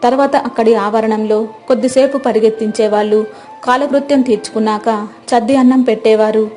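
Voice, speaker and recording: A woman's voice: a little high, fairly even intonation, brisk, warm tone. A woman narrating a scripted moral story as a storyteller.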